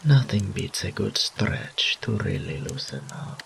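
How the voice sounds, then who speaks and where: A man's voice: deep voice, very animated, measured pace, gravelly, a bit bright, slight background noise, exaggerated voice. A man voicing a teasing, smug and doting incubus demon in roleplay audio, with a theatrical purring drawl and whispered asides. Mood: flirtatious.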